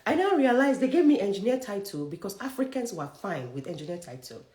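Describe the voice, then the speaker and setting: A young Nigerian woman with a Nigerian accent, giving a forceful, preachy motivational career talk that challenges her listeners directly. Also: medium pitch, sing-song, fairly quick, roomy sound. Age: young adult. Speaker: career coach.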